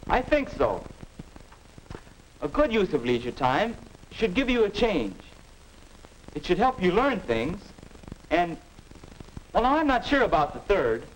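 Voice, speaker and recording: Earnest, higher-voiced American teenage boy. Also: very animated, a little slow, long pauses. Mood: pondering. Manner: earnest, questioning, stagey. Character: restless, doubting American teenage boy named Ken. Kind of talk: 1950 educational film acting. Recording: noisy 1950 film soundtrack.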